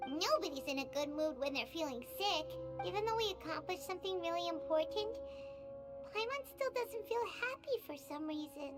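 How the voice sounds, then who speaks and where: A woman's voice: extremely high pitch, animated, normal speed. A woman voicing a tiny chatty female fairy companion who speaks of herself by name, with game music behind. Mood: gloomy.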